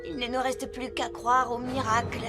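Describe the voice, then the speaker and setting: A frank young cartoon heroine voiced by a woman speaks in a high voice with a wry edge. Loud music plays behind her. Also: little pitch movement, normal speed, lightly acted. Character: wry, frank young female companion of a hero. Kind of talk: animated series dubbing in French.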